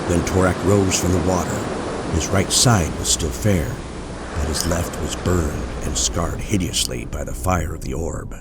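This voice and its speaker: Deep guy reading a fantasy story, slow and solemn, heavy music behind. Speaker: audiobook narrator. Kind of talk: scripted fantasy narration. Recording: loud music bed under voice.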